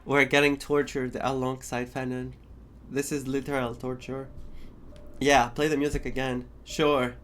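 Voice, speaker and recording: A man's voice: mid-range voice, little pitch movement, measured pace, fairly bright tone, faint music behind. A man doing casual let's play commentary as a gaming streamer.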